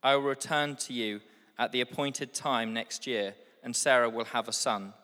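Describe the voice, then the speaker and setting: Bright-voiced man reading scripture in a hall. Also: mid-range voice. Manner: steady, measured reading. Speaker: scripture reader. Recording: hall with long reverb.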